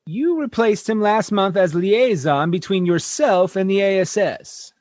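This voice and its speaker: A man's voice: high-pitched, unhurried, a little mellow. A man playing an exasperated, tactless human starship captain in a sci-fi radio comedy, blustering and pleading as he talks.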